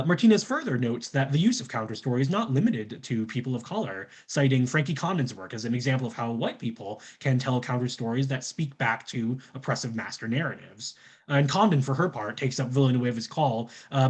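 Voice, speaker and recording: A man presenting scholarly research from a script, in formal academic wording with citations. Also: medium pitch.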